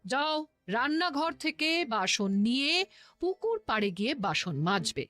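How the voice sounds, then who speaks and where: A high-pitched, imperious cartoon queen. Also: very animated, a little slow, fairly bright tone. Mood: bossy. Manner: bossy, emphatic, dramatic. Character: imperious, demanding young queen, quick to complain. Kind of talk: animated cartoon voice acting.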